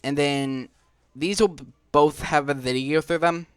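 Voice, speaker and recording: A man giving casual gameplay commentary, thinking aloud in an offhand, halting way. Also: a little high, fairly even intonation, a bit bright, smooth, no echo, clean studio sound. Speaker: gaming video host.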